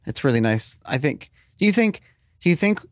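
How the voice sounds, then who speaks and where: A man in his thirties with a fairly high voice talks through a headset on a video call, close and dry with no room sound. He grew up speaking Hebrew, though English is his daily language now. He is chatty and curious, often restarting his sentences. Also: sing-song, fairly quick, no echo. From United States. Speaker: podcast host. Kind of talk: casual video-call interview. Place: office at home, small room indoors.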